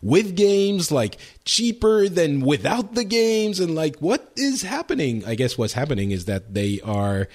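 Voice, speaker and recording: A man's voice: on the high side, very animated, unhurried, hardly any pauses, darkish tone. A man co-hosting a gaming podcast in casual chat, rambling with many fillers and restarts. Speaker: podcast co-host.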